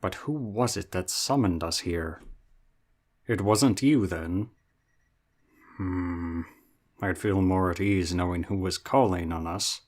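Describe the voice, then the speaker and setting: A man voicing a wary, practical male dwarf traveler who speaks in a measured, questioning way. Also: deep voice. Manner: measured, questioning, a bit wry. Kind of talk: fan audio drama roleplay.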